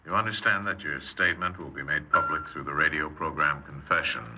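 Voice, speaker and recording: An actor playing a calm, methodical police interrogator with an understated official manner, in 1950s radio drama style. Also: male voice, extremely low pitch, brisk, over loud music. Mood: calm, probing. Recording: muffled, very noisy old radio recording.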